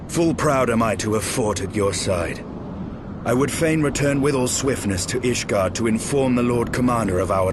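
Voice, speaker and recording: A man playing a proud, brooding dragoon knight in a video game cutscene, with formal, measured and archaic delivery. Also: medium pitch, background noise, natural voice. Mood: proud resolve. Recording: game audio under loud music. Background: loud orchestral game music.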